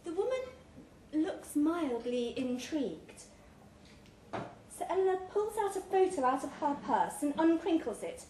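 High-voiced woman reading a story aloud, roomy sound. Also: big pitch swings, measured pace, dark tone. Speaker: reader of a short story. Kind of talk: live literary story reading. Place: pub room at a live reading event. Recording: roomy live recording with clear background noise.

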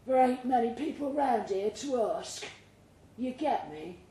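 A woman's voice: fairly high pitch, animated, unhurried, warm tone, voice pushed up. A streetwise London man, casual and faintly menacing, played by a woman reading a story live. The talk is slangy, and the pub room echoes around it. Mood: casually menacing. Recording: roomy live pub recording, faint background noise.

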